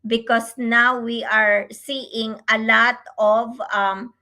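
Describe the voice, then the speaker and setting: Slow, bright-voiced woman, non-native English. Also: a little high, little pitch movement. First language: not English. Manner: slow, halting, many fillers. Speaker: co-host. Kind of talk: casual livestream chat.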